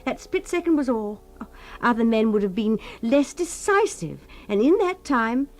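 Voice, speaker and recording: A woman's voice: fairly high pitch, lively intonation, moderate pace, a little mellow, natural voice. An actress playing a doting, fretful wife in a radio comedy-drama, overwrought and gushing, smothering her husband with emphatic devotion. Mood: gushing admiration.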